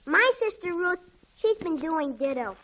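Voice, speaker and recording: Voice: very high voice, animated, a little slow, background noise. An actor playing a stubborn, boastful young schoolboy in a 1940s radio comedy. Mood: glum, conspiring. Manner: terse, stubborn, echoing retorts. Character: dogged, boastful young boy, schoolboy rival. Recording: old 1940s radio broadcast.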